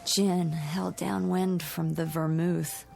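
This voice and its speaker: An actress playing a teasing, patient young New York wife, in radio drama style with wry, playful banter. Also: female voice, on the low side, level tone, slow, creaky, a little mellow, faint music behind, exaggerated voice.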